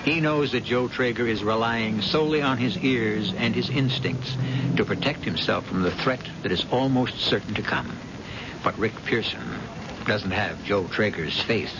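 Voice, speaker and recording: An actor serving as a grave, suspense-building host, narrating an old radio mystery in dramatic scripted style. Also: male voice, fairly low pitch, moderate pace, very noisy, close to an everyday voice. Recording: muffled old radio broadcast recording. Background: music.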